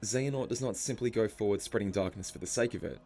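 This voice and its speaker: A man narrating a scripted story explainer in a measured, musing way, posing rhetorical questions. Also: on the low side, mellow. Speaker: video essay narrator. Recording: music under the voice. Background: background music.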